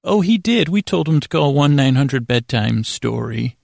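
A man's voice: mid-range voice, expressive, moderate pace, gravelly, darkish tone, no echo, clean studio sound. A man voicing a cheery, helpful, slightly hesitant receptionist at a bedtime story institute, in an improvised podcast tale.

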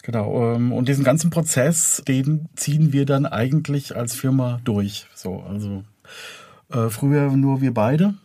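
A man's voice: mid-range voice, measured pace, warm tone, no echo. A man speaking as an interview guest, explaining his work in casual conversation. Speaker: interview guest, audio drama producer and composer.

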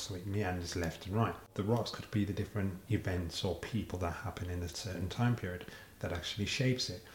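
A man with a very deep voice asks questions in a reflective, conversational way. His voice rings in a roomy space with a faint hum of background ambience. He keeps nudging the guest along with short affirmations. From family roots in Jamaica. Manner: reflective, uses metaphors, frequent affirmations. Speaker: interviewer, sound engineer. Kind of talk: conversational interview.

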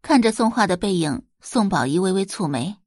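A woman narrating a Chinese web-novel audio drama in an expressive storytelling manner. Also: mid-range voice, a little fast, husky, no room sound, studio quality.

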